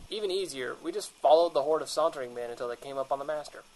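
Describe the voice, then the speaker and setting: A man's voice: a little high, fast talker, darkish tone, natural voice, poor audio. A man playing a cocky, defensive, paranoid monster hunter in a comedy radio drama.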